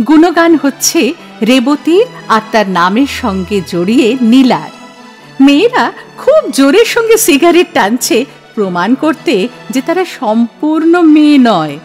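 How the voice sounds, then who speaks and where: A woman's voice: sits high, big pitch swings, a little slow, darkish tone, faint music behind. A woman giving dramatic literary narration for an audio play. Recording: long hall-like reverb.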